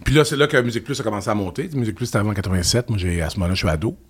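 A man in his fifties with a Québécois French accent, telling stories about his years as a music TV host in a lively, chatty way. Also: fairly low pitch, husky. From Quebec. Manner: animated storyteller, quick and chatty. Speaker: podcast guest, former music TV host. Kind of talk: casual interview chat.

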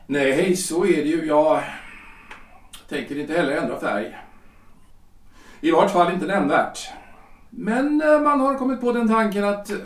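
A man playing a hesitant, self-important husband in his forties, a lawyer and politician, speaking formally and haltingly in a period audio drama. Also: fairly high pitch, far from the mic. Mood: pleased, self-important. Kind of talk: period stage-play audio drama acting. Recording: roomy, echoing, off the microphone.

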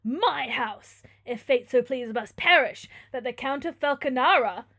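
A woman's voice: high voice, animated, measured pace, bright, forceful tone, heavily acted. A woman voicing a wrathful, imperious male prince from a gothic novel, declaiming with cold, stern scorn. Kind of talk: gothic novel read aloud.

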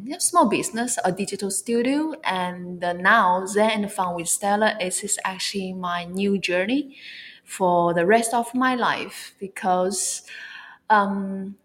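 A woman from China with a Chinese accent, speaking English as a second language, talking as an interview guest in a halting way, often searching for words. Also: mid-range voice, fairly bright tone. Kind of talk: casual interview talk.

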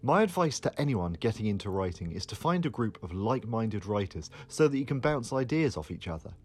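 A man with a husky voice giving prepared advice as a fiction writer. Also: lowish voice, very animated, fairly quick, darkish tone.

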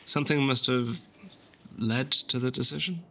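An actor playing a reasonable, questioning fascist prison guard in an audio drama, measured and probing. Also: male voice, medium pitch, bright, forceful tone. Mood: sober, probing.

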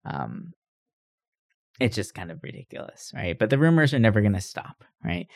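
A man with a fairly low voice delivers casual news commentary as a podcast host. He speaks conversationally, often checking in with rhetorical tag questions. The recording is dry and close, with little room sound. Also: big pitch swings, normal speed, creaky, no room sound.

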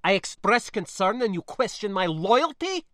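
A male narrator plays a proud young niece in an audiobook. The voice is raised high and bright, with formal, clipped phrasing. It is scornful and fiery, with worry underneath, and recorded very dry. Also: sing-song, moderate pace, dry recording, heavily acted. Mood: indignant.

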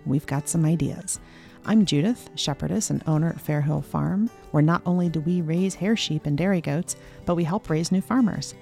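A woman hosting a farming podcast, introducing the show and asking upbeat questions. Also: creaky. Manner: bright upbeat questions, pitch rising. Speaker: podcast host and farmer.

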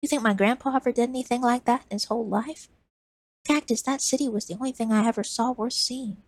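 A woman's voice: fairly high pitch, fast talker, no echo, pitched above the performer's own voice. A woman voicing an eager, trusting young man who talks in earnest, pleading bursts.

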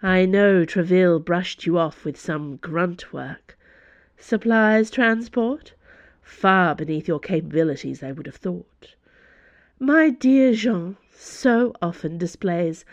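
Woman voicing a slow, imperious older female cardinal. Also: lowish voice, dry recording, lo-fi sound. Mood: condescending. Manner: slow, haughty, sarcastic delivery. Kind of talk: audiobook character voice.

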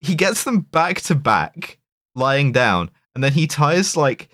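A man chats through a film plot as a podcast co-host, with very lively pitch. He is jokey and wanders into asides, recorded close in a dry space. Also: slow, no room sound. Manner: jokey, digressive. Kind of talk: casual film recap chat.

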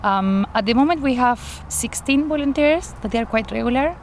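A woman's voice: a little high, animated, normal speed, a little hiss or hum. A woman from Argentina with an Argentine Spanish accent, a garden coordinator telling her story in an outdoor interview, earnestly and with light laughs. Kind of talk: interview, personal account.

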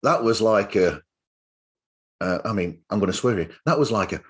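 A man hosts a relaxed interview show with a highly animated, middling-pitched voice. He speaks in an enthusiastic, meandering way as he puts his questions. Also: moderate pace. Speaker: podcast host interviewer. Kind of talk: casual interview chat.

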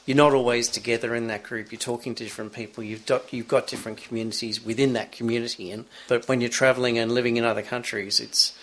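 Middle-aged male guest, average pitch, plain matter-of-fact explainer. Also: level tone, quick pace, few pauses, fairly bright tone, slight background noise, rough recording. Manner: matter-of-fact, explains in long run-on sentences. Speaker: guest entrepreneur. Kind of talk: interview conversation.

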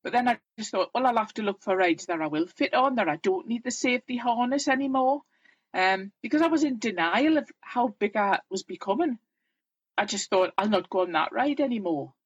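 A chatty Geordie woman in her fifties, candid about her life and quick to laugh at herself. Her voice sits fairly high with a husky edge. The recording is close and dry, with a slightly dull, muffled sound. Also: expressive, dry recording. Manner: chatty, self-deprecating, plain-spoken. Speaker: guest. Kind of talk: candid personal storytelling.

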